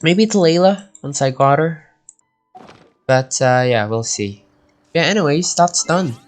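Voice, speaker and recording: Guy streaming a game, talks slow, kinda rambles thinking out loud, quiet music under him. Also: on the high side, lively intonation. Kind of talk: casual live gameplay commentary.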